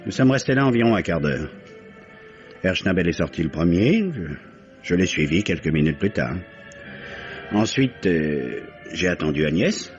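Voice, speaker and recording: A man's voice: low-pitched, expressive, normal speed, long pauses. An actor playing a polite, evasive businessman under questioning in a vintage French radio mystery, flustered and deferential. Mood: hesitant, recounting. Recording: dull old radio recording, music underneath.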